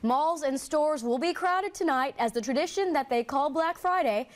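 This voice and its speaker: A woman's voice: sits high, expressive, normal speed, background noise. An American woman reading scripted television news as an anchor.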